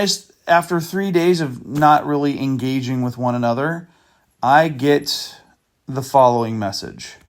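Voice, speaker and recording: A man hosting a video and talking casually through screenshots of a chat, with dry, sarcastic asides and a loose, meandering delivery. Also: a little high. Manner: loose, meandering with fillers and restarts. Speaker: channel host exposing a scammer.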